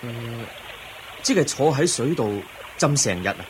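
An actor playing a polite, earnest young seeker in a radio drama, asking questions courteously and expressively. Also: male voice, medium pitch, lively intonation, normal speed, slight background noise, natural voice, low-quality recording. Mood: startled, puzzled. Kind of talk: Cantonese radio drama acting.

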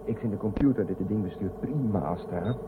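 A man's voice: lowish voice, fairly quick, very noisy, lightly acted. An actor in a radio drama playing a rebellious, teasing spaceman with a persuasive, familiar manner. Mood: casual, teasing. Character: cheeky, coaxing rebellious spaceman, fond and teasing. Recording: muffled lo-fi radio drama, music underneath.

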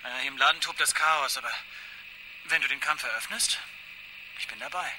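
A man's voice: mid-range voice, a little fast, bright, forceful tone, slight background noise, poor audio. An actor in a radio drama playing an eager, conspiratorial man leaving a message on an answering machine. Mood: urgent, conspiratorial.

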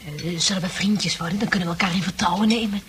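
An actress playing a chatty, nosy newcomer in a radio play, girlish and eager, with an excitable, coquettish manner. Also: on the low side, fast, lightly acted. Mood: eager, coaxing. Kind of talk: Dutch radio play acting. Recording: noisy old radio drama recording.

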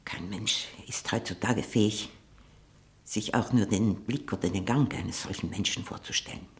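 An actress playing a haughty, sharp-tongued old aunt in a 1960 German radio drama, probing and disdainful, living on her memories. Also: very deep voice, moderate pace. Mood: nostalgic, proud.

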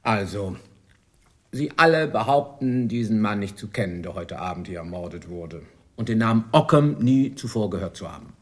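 An actor playing a curt, impatient police lieutenant interrogating suspects in a radio crime play. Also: male voice, lowish voice, animated, normal speed, natural voice. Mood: impatient, commanding. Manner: curt, commanding. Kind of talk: German radio drama acting.

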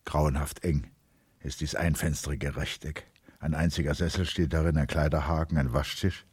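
An actor as a grave, brooding narrator of a literary radio drama, with a husky, breathy voice. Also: male voice, very deep voice, fairly even intonation, normal speed, darkish tone, dry recording, full character voice. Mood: grave, tense.

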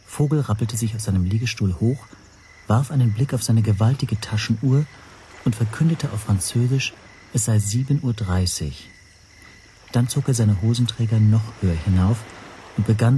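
An actor playing an observant language teacher who narrates his story in a measured, dryly descriptive way for a radio drama. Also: lowish voice, warm tone, slight background noise, natural voice.

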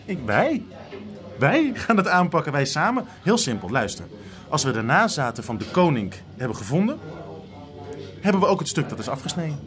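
An actor playing an excitable, ambitious art expert in a naturalistic radio drama, enthusiastic and persuasive. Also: male voice, a little high, normal speed.

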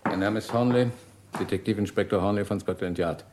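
Guy as a calm methodical police inspector, lowish voice, measured n formal, old crime radio play. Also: slight background noise, lightly acted. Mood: composed. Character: composed, methodical male Scotland Yard detective inspector. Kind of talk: mid-century radio drama acting.

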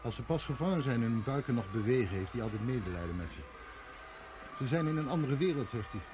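A man's voice: mid-range voice, animated, fast, background noise, lightly acted. An actor playing a naive, wistful fisherman's son from overseas, speaking simply and earnestly in a radio play. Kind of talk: Dutch radio play acting.

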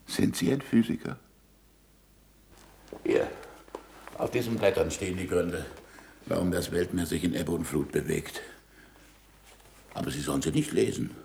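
A man's voice: deep voice, measured pace, creaky, pauses often, darkish tone, a little hiss or hum. A man acting an impassioned, sarcastic middle-aged scientist in a German radio play, swinging between declamation and muttering. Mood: firm, pointed.

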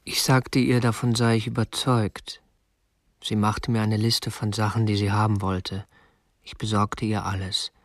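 An actor playing a prim, obsessive young kidnapper in a radio drama, speaking in a stiff, formal, matter-of-fact way. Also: male voice, lowish voice, flat delivery, unhurried, dry recording, studio quality. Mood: detached recounting. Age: 20s. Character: prim, obsessive young male kidnapper, narrating his deed. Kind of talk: radio drama narration and dialogue.

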